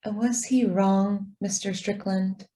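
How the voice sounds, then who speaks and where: An actress playing a mysterious, gentle girl in a radio drama, serene and trusting, with a soft, deliberate delivery. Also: mid-range voice, fairly even intonation, lightly acted. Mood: gentle pity. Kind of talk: stage-style radio drama acting.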